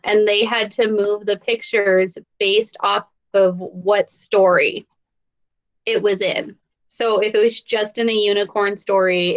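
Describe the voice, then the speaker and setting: A woman in her thirties giving a casual report on a lesson over a computer video call. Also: mid-range voice. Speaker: participant in a read-aloud discussion group. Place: room at home, on a video call. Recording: dull lo-fi video call audio.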